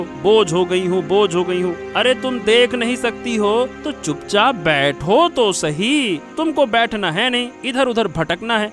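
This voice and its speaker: A man's voice: high-pitched, fairly bright tone. A man voicing a put-upon, exasperated grown son in a cartoon moral story, complaining impatiently. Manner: complaining, repeats words impatiently.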